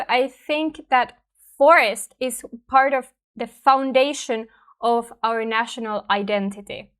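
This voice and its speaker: Finnish woman, language teacher, thoughtful casual delivery, slightly high-pitched. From Finland. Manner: thoughtful, conversational, frequent "right?" and fillers. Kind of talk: reflective personal talk to camera.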